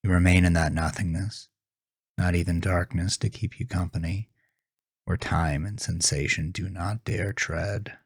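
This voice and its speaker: A man narrating a horror story with a grave, deliberate, suspenseful delivery. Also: deep voice, fairly even intonation, slightly rough, dry recording, studio quality. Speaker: author and narrator of horror podcast. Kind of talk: dramatic second-person horror story narration.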